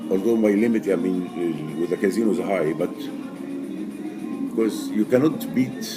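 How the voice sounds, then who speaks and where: A man in his sixties, raised in Egypt, answering interview questions casually in halting English full of fillers and restarts. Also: low-pitched. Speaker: poker player guest. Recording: music playing behind.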